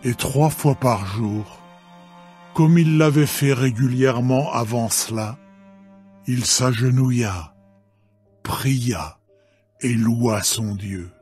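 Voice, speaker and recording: Slow, measured male scripture narrator. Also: mid-range voice, a little mellow, husky. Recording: background music.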